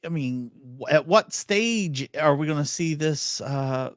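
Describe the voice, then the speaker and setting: A man's voice: a little high, measured pace, dry recording, clean studio sound. A man co-hosting a court commentary live stream, talking casually and throwing out rhetorical questions. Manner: animated, exclaiming, rhetorical questions.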